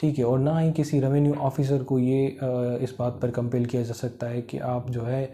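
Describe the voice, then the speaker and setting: A man with a Pakistani accent giving an informal explanatory lecture, halting and repetitive, with many filler phrases. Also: medium pitch, flat delivery, warm tone, a little hiss or hum. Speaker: law lecturer. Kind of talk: informal explanatory lecture, Urdu mixed with English legal terms.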